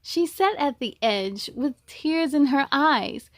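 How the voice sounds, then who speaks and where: A woman reading a children's book aloud in an expressive, encouraging way, with chatty asides to young listeners. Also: high voice, slow, no room sound, clean studio sound.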